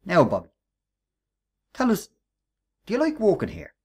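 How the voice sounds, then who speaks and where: Man acting a husky bullying Irish workman. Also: sits high, huge pitch swings, unhurried, exaggerated voice. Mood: sly, menacing. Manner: taunting, sarcastic, bossy. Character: bullying, scheming Irish workman, menacing ringleader. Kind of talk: radio play acting.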